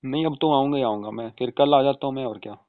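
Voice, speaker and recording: A man playing an easygoing adult son reassuring his mother in an informal, chatty phone conversation, with a creaky voice on a tinny call recording. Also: mid-range voice, fast talker, no echo, lightly acted. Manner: informal, chatty, often seeking agreement with tag questions. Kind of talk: staged casual phone conversation in Hindi.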